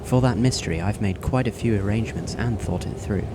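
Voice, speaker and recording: A man playing a polite, composed teenage boy in a fan audio drama, speaking in a formal, measured, analytical way. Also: on the low side, background noise, close to an everyday voice.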